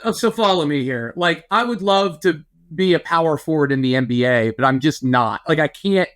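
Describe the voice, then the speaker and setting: A man's voice: on the high side, lively intonation, moderate pace. A man co-hosting a podcast in casual chat, riffing playfully. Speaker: podcast co-host.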